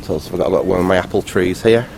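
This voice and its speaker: A man with a low-pitched voice talks off the cuff, as if showing someone around. His delivery is halting and rambling but matter-of-fact. There is clear noise behind him on a casual handheld recording. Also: darkish tone. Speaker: vlogger, hobbyist restorer. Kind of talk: casual unscripted video tour.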